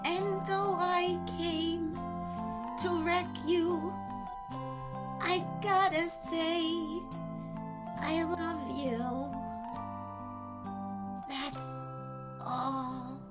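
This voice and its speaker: A woman playing a dying woman, slow and tender. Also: very high voice, steady tone, exaggerated voice. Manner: slow, halting farewell. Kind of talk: improvised radio play. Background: soft music.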